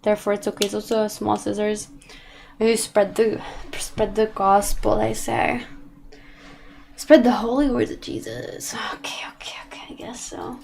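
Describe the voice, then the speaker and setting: A woman's voice: mid-range voice, level tone, normal speed, vocal fry, darkish tone, slight background noise. A young woman with a British accent and a slight Australian twang, rambling through casual stream chat with many restarts while she paints.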